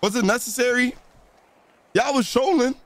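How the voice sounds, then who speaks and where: A man's voice: very high voice, normal speed. A man role-playing a suspect on a game stream, chatting casually in street slang.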